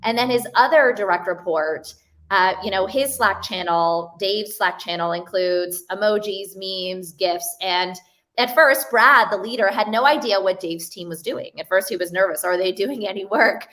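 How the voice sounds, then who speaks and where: A woman in her forties talks through her points in clear, ordered steps, weaving in short stories. She joins a panel discussion over a video call from a room at home. Her pitch and delivery sit in an everyday middle range. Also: moderate pace, fairly bright tone. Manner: articulate, structured, anecdotal. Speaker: panelist, collaboration expert.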